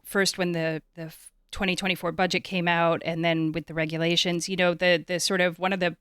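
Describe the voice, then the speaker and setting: Clear-voiced Canadian woman hosting an interview. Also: on the low side, little pitch movement, a little fast, fairly bright tone, no echo, studio quality. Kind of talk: conversational interviewing.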